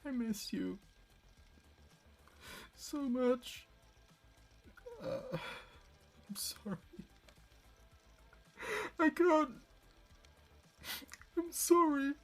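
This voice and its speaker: A grieving man weighed down by guilt in an audio drama. He speaks in halting, emotional phrases. He sounds distraught and pleading, as if trying to reach a dead parent through a tape recorder. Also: very high voice, big pitch swings, gravelly, fairly bright tone, exaggerated voice. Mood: breaking down.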